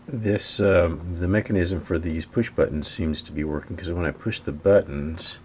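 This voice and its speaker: A man's voice: low-pitched, a little hiss or hum. A man thinking aloud in a casual, hesitant way as he narrates hands-on repair work at an indoor workbench. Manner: hesitant, halting, musing to himself. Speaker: hobbyist radio repairer narrating his work.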